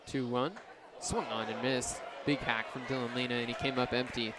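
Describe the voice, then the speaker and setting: A man's voice: medium pitch, steady tone, normal speed. Young male baseball announcer over crowd noise. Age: young adult. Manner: clipped phrases, descriptive calls. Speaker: baseball radio play-by-play commentator. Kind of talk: live sports commentary. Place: outdoor baseball stadium. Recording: ballpark crowd and outdoor noise. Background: crowd and ambient noise.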